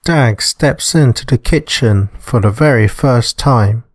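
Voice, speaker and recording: Male visual novel narrator, medium pitch, measured slow delivery of scripted prose. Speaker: narrator and author.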